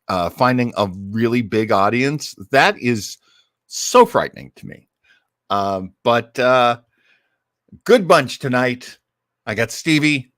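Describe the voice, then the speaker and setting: A man chats casually as the host of a listening show, his voice sitting at a middling pitch. He rambles in a jokey, self-deprecating manner, and the sound is dry and free of room echo. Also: a bit bright. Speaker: host of an old-time radio listening show. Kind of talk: casual livestream chat.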